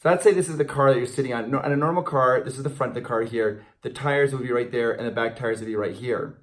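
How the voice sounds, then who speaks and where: A middle-aged man with a mid-pitched voice talks casually as a vlog co-host. He is animated and joking, and often interrupts himself. The recording is made indoors on a camera a short distance away. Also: fast talker. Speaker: co-host of a theme park fan vlog. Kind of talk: casual chat, answering fan questions. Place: indoors, small room in front of a backdrop.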